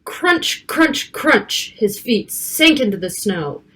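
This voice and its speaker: A woman reading a picture book aloud to children, expressive, with casual asides about the pictures. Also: on the high side, takes it slowly, a little hiss or hum. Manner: child-directed, expressive, stretching key words and pointing out pictures.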